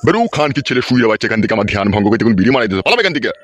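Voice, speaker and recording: A man's voice: big pitch swings, very fast, background noise, natural voice. A man voicing a wrathful holy hermit in a comic cartoon, chanting mantras and scolding with old-fashioned curses. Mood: angry, cursing. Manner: booming mantra chanting, scolding, archaic insults. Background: music.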